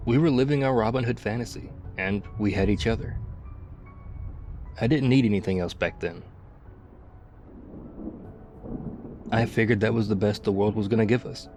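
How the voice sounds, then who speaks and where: Mellow, low-voiced war-weary male survivor acted by a man. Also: soft background music, lightly acted. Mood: nostalgic, wry. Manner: confiding, reflective, halting. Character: battle-worn male ex-soldier survivor of zombie apocalypse, wistful, confiding. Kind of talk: intimate ASMR roleplay acting.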